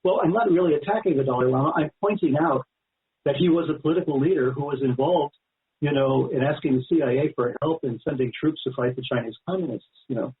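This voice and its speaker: A man's voice: on the high side, quick pace. A man speaking as a guest author in a radio interview, explaining at length in a conversational, emphatic and digressive way. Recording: narrow-band phone-like line.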